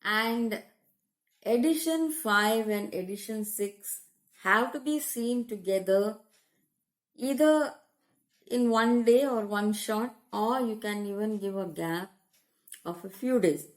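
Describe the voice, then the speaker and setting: A woman with an Indian accent from India, giving a slow, deliberate English grammar lesson as a teacher. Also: mid-range voice, rough recording. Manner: slow, deliberate teaching delivery, switching into Hindi. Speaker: language teacher.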